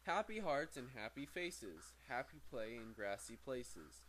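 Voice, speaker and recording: Halting male amateur reader, noisy recording. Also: mid-range voice, very animated, fairly bright tone. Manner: halting, stumbling over words and correcting himself. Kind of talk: reading aloud from a book with casual asides.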